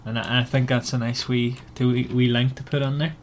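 A man casually discussing a book as a podcast co-host, often halting and repeating words. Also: medium pitch, little pitch movement, a little hiss or hum.

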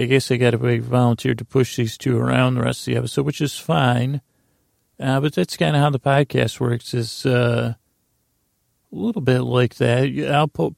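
A man hosting a podcast in a rambling, improvised bedtime-story style, halting and meandering, often repeating himself, with a creaky voice. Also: mid-range voice, a little mellow, no room sound, studio quality. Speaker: podcast host.

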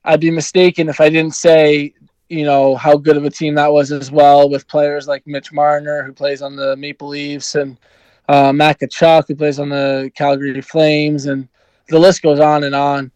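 A man's voice: a little high, completely flat delivery, unhurried, darkish tone, smooth, dry recording, highs cut off. A young man from New Jersey, a hockey player answering interview questions in an easygoing way, with many ums and you-knows. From New Jersey, USA.

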